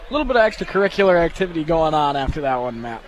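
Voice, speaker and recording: A man provides color commentary on live sports radio. His voice sits notably high for a male, and he explains things in a conversational way. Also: sing-song, racing, a little hiss or hum, poor audio.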